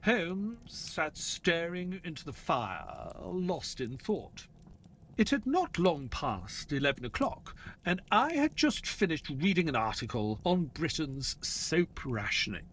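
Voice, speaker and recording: Earnest doctor-narrator, played by a man, slightly high voice, swooping expressive pitch, dramatic storytelling over faint noise. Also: takes it slowly, heavily acted. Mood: measured, atmospheric.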